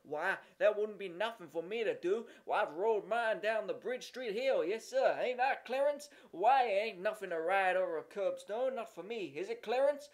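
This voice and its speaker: A man reading a story aloud, voicing a boastful young boy with a loud, whiny manner. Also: high voice, normal speed, hardly any pauses, lightly acted.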